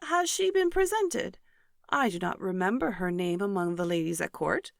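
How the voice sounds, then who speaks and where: An excitable, prying Regency mother, played by a woman reader, eager and inquisitive. Her pitch leaps upward with emphasis, and the sound is close and dry. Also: normal speed, gravelly, no room sound. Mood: eager, nosy. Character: nosy, excitable married mother in Regency society. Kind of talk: audiobook character reading.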